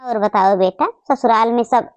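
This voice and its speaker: Woman acting a high-voiced, homely cartoon aunt. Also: normal speed, clean tone, no room sound, lightly acted. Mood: friendly. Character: friendly neighbouring aunt, easily offended.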